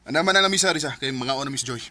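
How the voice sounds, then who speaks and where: A man's voice: medium pitch, lively intonation, fast, sharp tone, a little hiss or hum. An actor with a Filipino Bisaya accent playing a cold, dismissive young man in a curt radio drama style. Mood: bossy. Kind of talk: emotional radio drama acting.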